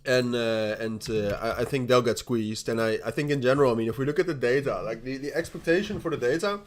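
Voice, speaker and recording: A man's voice: medium pitch, fast. A young man with a Dutch accent hosting a casual crypto livestream, rambling with frequent laughs, repeated starts and the odd swear. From Netherlands. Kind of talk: casual livestream chat while trading.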